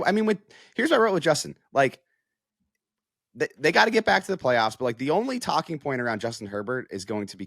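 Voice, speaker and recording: A man's voice: on the low side, fairly bright tone, no room sound, studio quality. A man with an American accent giving casual sports analysis as a reporter, thinking aloud in a conversational way. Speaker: sports reporter, show guest.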